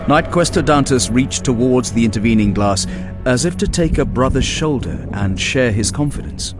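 A man's voice: mid-range voice, animated, moderate pace. A man reading dramatic fantasy narration in a grave, portentous manner as an audiobook narrator. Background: music.

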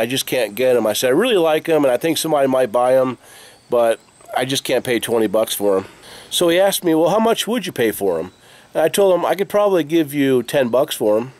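An American man in his forties talking casually to camera in a conversational, matter-of-fact way, like a vlogger. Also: fairly high pitch. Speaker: reseller vlogger showing garage sale finds. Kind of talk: casual vlog talk to camera. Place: open garage doorway, outdoors. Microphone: camera at arm's length.

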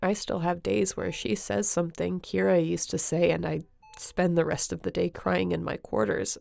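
Woman playing a creaky-voiced, devoted space captain. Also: fairly low pitch, level tone, a little mellow. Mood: confessional, sad. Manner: emotional, halting, earnest. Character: woman space captain, tender, guilt-ridden, devoted to her crewmate. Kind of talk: sci-fi audio roleplay acting.

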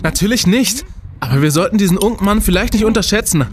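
An actor playing a young student detective, an earnest, self-important leader, in an audio drama. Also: male voice, sits high, animated, fast. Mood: earnest, resolute. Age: young adult. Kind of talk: German audio drama acting.

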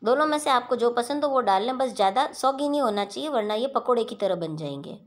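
A woman hosting a home cooking channel, talking viewers through a recipe step by step in a steady instructional voice-over. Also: fairly high pitch, fast talker.

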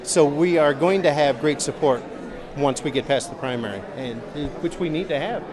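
A man in his forties from Missouri, a political candidate, answering interview questions earnestly with many restarts and uhs in a large convention hall. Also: a little high. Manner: earnest, halting with repeated words and uhs. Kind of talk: on-the-spot interview. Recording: busy crowd noise behind. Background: crowd chatter.

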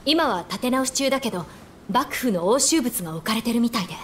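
A woman's voice: on the high side, expressive, a little fast, a bit bright, a little hiss or hum. A woman playing a spirited, frank young woman, a doctor's daughter, in a Japanese video game, casual and teasing. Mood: determined. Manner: casual, teasing, self-assured.

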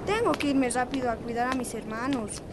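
A woman's voice: sits high, a little fast, background noise, natural voice. An actress with a Bolivian accent playing a guarded, dutiful teenage girl in a radio drama. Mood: wary, defensive.

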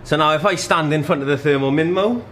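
A man's voice: fairly high pitch, fast talker, a bit bright, a little hiss or hum. A man in his thirties giving an informal hands-on tutorial, explaining a product step by step. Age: 30s. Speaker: product demonstrator. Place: small indoor showroom.

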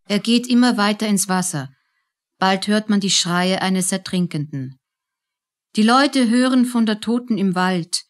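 A woman's voice: medium pitch, unhurried. Narrator for radio drama: female, mid-range voice, scripted dramatic storytelling.

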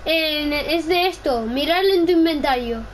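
A woman's voice: high-pitched, normal speed. A woman chatting casually while gaming, insistent and often repeating her requests. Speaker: gamer. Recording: faint background noise.

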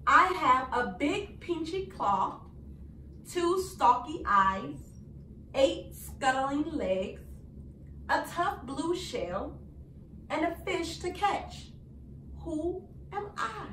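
A young woman reading a picture book aloud to young children in an animated, sing-song way with deliberate phrasing. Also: sits high. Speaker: children's storyteller. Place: small indoor room. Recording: roomy, distant mic, faint noise.

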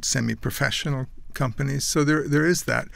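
A man's voice: medium pitch, steady tone, fairly quick, creaky, a bit bright, no echo. A man in his sixties, raised in Canada, telling his life story in a casual interview, rambling with restarts and wry asides, on a studio mic. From Netherlands, raised in Canada. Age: 60s. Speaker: guest actor and painter. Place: small studio with purple curtain. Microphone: boom studio mic.

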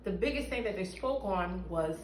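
A woman's voice: medium pitch, lively intonation, normal speed. A woman giving a spoken church testimony in an interview, earnest and emphatic, picked up a few feet from the camera in a small room. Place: small indoor room with bare walls, seated. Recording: echoey room, mic at a distance.

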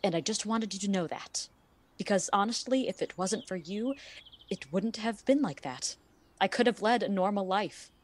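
A woman's voice: mid-range voice, moderate pace, lightly acted. An actress in a radio play playing a weary, hardened woman who speaks plainly and earnestly. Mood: bitter, weary. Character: weary, hardened woman worn down by years of debt.

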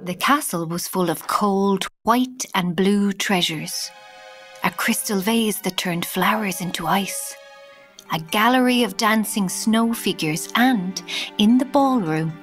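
A woman narrating a children's fairy tale as a storyteller, measured and deliberate. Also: mid-range voice, a bit bright. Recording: music underneath.

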